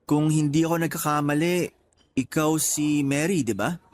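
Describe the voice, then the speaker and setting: An actor playing a quiet, serious male office worker in a Tagalog radio drama, restrained and matter-of-fact. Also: on the high side, normal speed, faint music behind, close to an everyday voice. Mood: reserved, polite.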